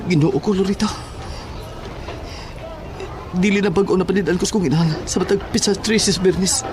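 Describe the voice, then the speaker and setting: Man playing a worried, high-pitched young son. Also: steady tone, normal speed, music in the background, close to an everyday voice. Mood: troubled. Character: earnest, worried young son watching his mother. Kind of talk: horror audio drama acting.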